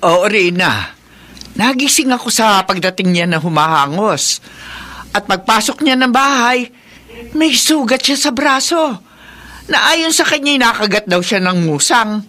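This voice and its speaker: Bright-voiced, worried elderly mother. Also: fairly high pitch, expressive, measured pace. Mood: uneasy, suspicious. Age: older. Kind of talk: radio drama acting.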